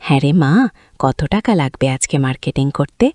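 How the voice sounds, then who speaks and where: A woman's voice: very deep voice, moderate pace, dark tone, dry recording, voice pushed down. A woman voicing an indulgent father in a family story, coaxing and reassuring his only daughter.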